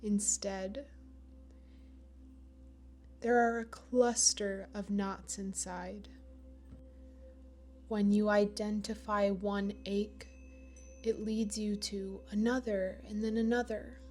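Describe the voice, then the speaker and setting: A woman leading a guided meditation in a gentle, soothing manner, with pauses between phrases. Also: medium pitch, little pitch movement, faint music behind. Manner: slow, gentle, soothing delivery with long pauses.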